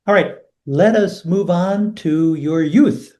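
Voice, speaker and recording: A man conducting an oral history interview, asking gentle questions and giving brief listening replies. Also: high voice, slow pace.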